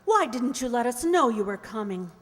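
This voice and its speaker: A woman's voice: a little high, big pitch swings, moderate pace. An actress playing a spirited, emotional young noblewoman about to marry, in a radio play. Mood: flustered, pleading. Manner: earnest, emotional, theatrical. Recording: echoey hall, long reverb.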